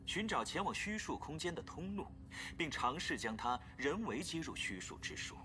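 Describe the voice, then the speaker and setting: Theatrical, expressive scheming scientist. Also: male voice, on the high side, normal speed. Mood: self-assured, formal. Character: tall, scheming, urbane male leader and scientist. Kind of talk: video game voice acting. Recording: loud music behind the voice. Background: game music.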